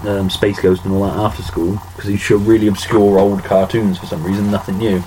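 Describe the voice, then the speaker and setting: A man in his late thirties co-hosting a casual podcast, chatting wryly and recalling details quickly. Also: on the low side, little pitch movement, dark tone, a little hiss or hum, rough recording. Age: 30s. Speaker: podcast co-host. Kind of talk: casual chat.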